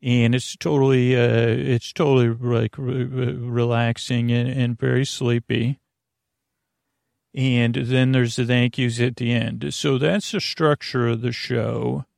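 A man with a creaky voice hosting a bedtime podcast, rambling in a silly, meandering way that trails off and circles back. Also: medium pitch, little pitch movement, slow pace, a little mellow, no room sound, studio quality. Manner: silly, goofy. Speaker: podcast host.

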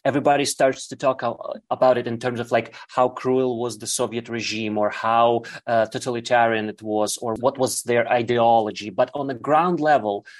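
A man from Ukraine, raised speaking Russian, gives a long interview answer. He pauses often and hunts for words, filling the gaps with "like" and "uh" as he works through his thoughts. His pitch sits in a middle range. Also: fairly even intonation. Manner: halting, searching for words, many fillers. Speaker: guest.

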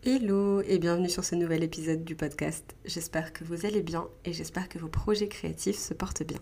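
A woman's voice: fairly low pitch, fairly even intonation, a little fast, gravelly, mellow, breathy edge. A woman hosting a casual writing podcast, chatty and friendly, with many fillers. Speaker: podcast host.

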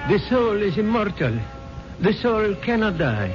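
Grave cult guru, notably high voice, measured sermon delivery, muffled 1970s radio with music. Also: male voice, very animated. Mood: solemn preaching. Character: impressive, solemn male guru preaching eternal life. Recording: dull lo-fi 1970s radio broadcast, music behind.

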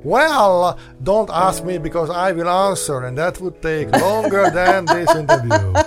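A man hosting a free-flowing interview, talking in an animated, joking way with mocking exclamations. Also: high-pitched, measured pace, gravelly, over music. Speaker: host.

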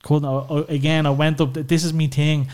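Candid Irish man, fairly high voice. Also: little pitch movement, fairly quick, a little mellow. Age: adult father of children. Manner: open, rambling, heavy use of fillers and swearing. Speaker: podcast guest. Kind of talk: candid personal conversation.

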